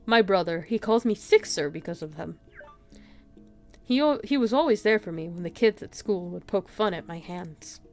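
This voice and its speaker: A woman voicing a gentle, bookish man, a kind outcast scientist in a visual novel, speaking earnestly. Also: on the low side, animated, moderate pace, soft background music. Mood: earnest, fond.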